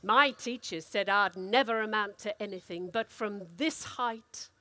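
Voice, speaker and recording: A woman performing a dramatic, expressive reading of a comic story as an actor. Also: mid-range voice, a little slow, no echo. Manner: theatrical, expressive delivery.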